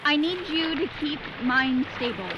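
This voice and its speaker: An actress playing a frightened, wary stranded girl in an audio drama, halting and pleading. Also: high voice, lo-fi sound, noisy background. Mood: wary, insistent.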